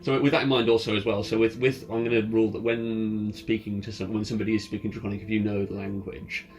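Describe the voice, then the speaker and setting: A man's voice: lowish voice, level tone, fast. A man acting as game master, casually explaining rules and scenes to players in an informal way. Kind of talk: casual tabletop roleplay game narration and rulings. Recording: clear background music under the voice. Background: ambient music.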